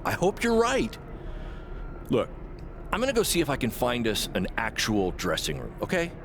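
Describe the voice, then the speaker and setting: An actor playing a worried, cautious wrestler friend in a video game, talking in a guarded way. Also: male voice, medium pitch, wildly swooping pitch, moderate pace, background noise.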